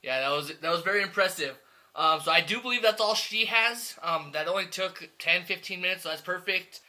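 A man's voice: high voice, a little fast, pushed and bright. A man hosting a podcast interview, asking long, rambling questions in an easygoing, chatty way. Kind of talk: casual interview chat.

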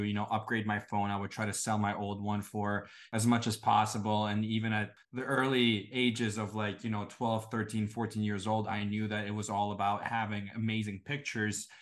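A man's voice: lowish voice, flat delivery, normal speed, hardly any pauses, a bit bright. A man in his twenties from Estonia, answering interview questions casually with a conversational "you know" habit. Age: 20s. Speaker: guest, e-commerce entrepreneur.

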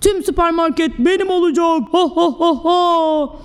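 A high-voiced, mischievous little pea villain, played by a woman storyteller. It is bossy and theatrical, taunting and gloating in storybook fashion with slow, wicked laughs. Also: steady tone, long reverb tail. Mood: smug.

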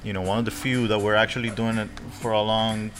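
A man hosting a podcast in casual chat, halting and repeating his words. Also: lowish voice, little pitch movement, a bit bright. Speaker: podcast host. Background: music.

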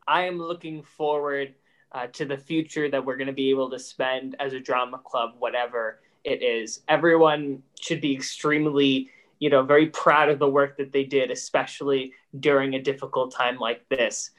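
A teenage boy from a high school drama club, speaking earnestly in a casual interview. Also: little pitch movement, moderate pace, a bit bright. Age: teens. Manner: earnest, eager.

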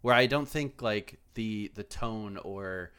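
A man's voice: fairly low pitch, slow pace, a bit bright, clean tone. A man hosting a podcast, chatting casually and reading listener emails, with lots of fillers, restarts and the odd swear word. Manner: rambling, many fillers and restarts. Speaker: podcast host.

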